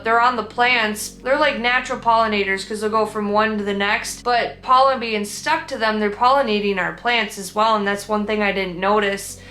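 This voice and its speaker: A woman's voice: medium pitch, bright, forceful tone, roomy sound. A young woman with a Minnesotan accent, chatting casually and rambling about her own painting in a vlog, with lots of fillers and restarts. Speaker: artist vlogger.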